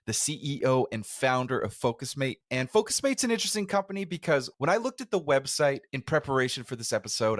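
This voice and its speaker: Podcast host: mid-pitched man, curious and a bit rambling, dry close recording. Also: a bit bright, dry recording. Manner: curious, rambling questions with restarts. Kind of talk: podcast intro and interview.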